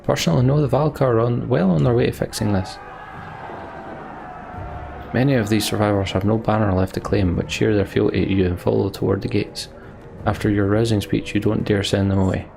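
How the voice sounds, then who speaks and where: A man's voice: fairly low pitch, steady tone, brisk, vocal fry, background noise. A man hosting a let's play, reading game narration aloud and adding his own commentary.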